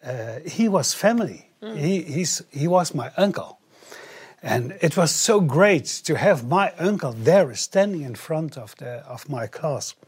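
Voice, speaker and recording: A man from the Netherlands with a Dutch accent, telling his own story haltingly and repeating phrases. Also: a little high, lively intonation, a little mellow, husky. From northern Netherlands. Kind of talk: personal storytelling in English, paused for interpreting.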